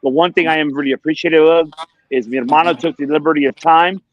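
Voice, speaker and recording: Higher-pitched man in his forties. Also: steady tone, quick pace, muffled recording. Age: 40s. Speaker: stream host. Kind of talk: casual live-stream chat.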